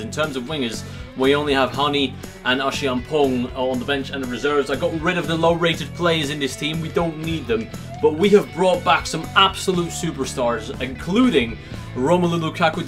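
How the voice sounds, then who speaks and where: A young man from Belgium with a Belgian Dutch accent, commentating a football game simulation in an upbeat, chatty way. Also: on the high side. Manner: upbeat, chatty, swings between excitement and gloom. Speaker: gaming YouTuber. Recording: music playing underneath.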